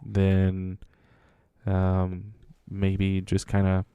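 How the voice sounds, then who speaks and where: A man's voice: sits low, completely flat delivery, very slow, clean tone, no echo, phone-quality sound. A man co-hosting a podcast in casual chat, joking about himself and then answering in a thoughtful way. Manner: self-deprecating, joking, thoughtful. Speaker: podcast co-host, Air Force veteran.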